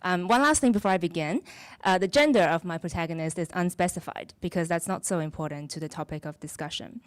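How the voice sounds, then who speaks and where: A woman author introducing, in a measured, explanatory way, the short story she is about to read aloud. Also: lowish voice, dry recording. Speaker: author reading her story. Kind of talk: introducing and reading her own story.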